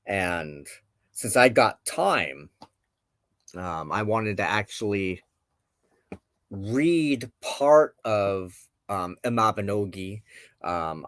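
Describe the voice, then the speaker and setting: A man with a low voice talks in a slow, halting way, as if working out each thought while he speaks. He hosts an informal, reflective show, and the sound is close and dry, with very little room in it. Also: dry recording. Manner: halting, searching for words, contemplative. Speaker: host of a spiritual show. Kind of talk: informal reflective talk to camera.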